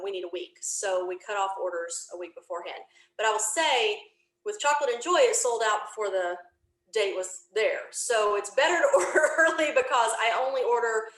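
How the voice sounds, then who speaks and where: A woman's voice: medium pitch, fairly bright tone, reverberant, low-quality recording. A woman chatting informally as an interview guest, explaining her venture in detailed, rambling fashion. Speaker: podcast creator being interviewed. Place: indoor home office, remote video call.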